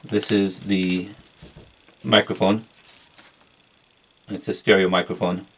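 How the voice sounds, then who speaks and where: A man with a low voice talks through a casual unboxing review. His delivery is flat and halting, moving without hurry from one feature to the next as he shows it. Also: slight background noise, rough recording. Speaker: product reviewer.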